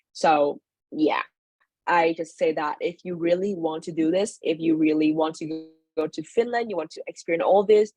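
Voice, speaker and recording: A woman's voice: fairly low pitch, darkish tone, no echo, poor audio. A young woman from Vietnam, speaking English on a webinar video call. She talks loosely and chattily, with many fillers and self-corrections. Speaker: webinar speaker, former student in Finland. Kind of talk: informal personal talk to an online audience.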